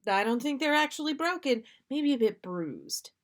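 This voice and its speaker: A woman's voice: high voice, very animated, normal speed, voice pushed up. Storybook role: woman as cheeky young man, nonchalant and magnanimous, unbothered by scrapes. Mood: breezy, nonchalant. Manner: magnanimous, breezy. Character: cheeky, unruffled young man, croaky and bloodied.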